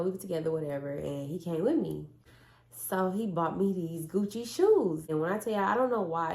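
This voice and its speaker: A young woman with tells a story in a blunt, animated way, her voice hoarse from a cold and gravelly with fry. She sits a little low in pitch. The room around her is echoey. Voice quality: raspy. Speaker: vlogger. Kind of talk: casual storytime chat.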